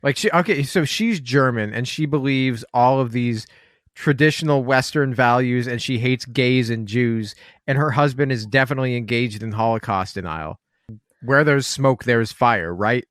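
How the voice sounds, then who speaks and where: A man's voice: medium pitch, normal speed, a bit bright, no echo. Jokey sarcastic guy cohosting a podcast, mid voice, super dry close sound. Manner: sarcastic, joking. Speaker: podcast co-host. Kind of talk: casual podcast chat.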